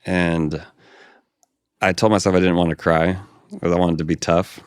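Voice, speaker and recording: A young man from Hawaii, a podcast guest calmly telling a personal story in conversation. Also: sits low, normal speed, smooth, no room sound, studio quality.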